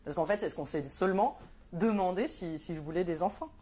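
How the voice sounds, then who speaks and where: A woman's voice: fairly low pitch, a little fast, warm tone. A woman in her thirties performing a stand-up comedy monologue, wry and sardonic, with frequent hesitant fillers. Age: 30s.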